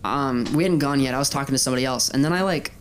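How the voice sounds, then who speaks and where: A man's voice: medium pitch, rapid-fire, a little hiss or hum. A young man from Colorado telling a teasing story in casual chat with a friend, laughing often. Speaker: podcast co-host.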